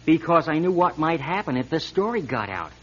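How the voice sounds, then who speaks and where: An actor playing a timid, deferential company lab worker in a radio drama, hesitant and earnest. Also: male voice, a little high, big pitch swings, a little fast, husky. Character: timid, deferential, loyal male lab employee, a bachelor. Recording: dull, muffled radio drama recording.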